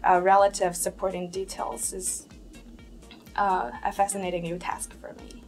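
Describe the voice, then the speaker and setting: A young woman from southern China, a native Cantonese speaker, talking in a documentary interview as a first-year student, animated and tentative with fillers. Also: medium pitch, moderate pace, faint music behind. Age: young adult.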